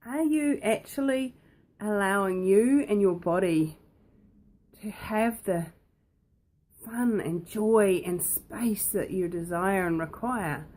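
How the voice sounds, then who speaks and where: A woman with a croaky voice, hosting and talking casually to the viewer, playful and full of questions put straight to them. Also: mid-range voice, takes it slowly, a little mellow. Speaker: host. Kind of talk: casual talk to camera.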